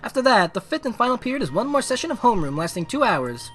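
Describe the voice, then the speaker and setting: A man voicing a poised teenage student council president, reading a formal, measured speech from a visual novel. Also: extremely high pitch, sing-song, a bit bright, soft background music, voice pushed up, full character voice. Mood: formal, earnest.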